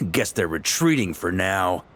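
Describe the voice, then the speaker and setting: Low-voiced, animated knight commander. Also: male voice, slight background noise, full character voice. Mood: amused. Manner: confident, explanatory, conversational. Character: seasoned male knight commander, easygoing yet shrewd. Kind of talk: video game character acting.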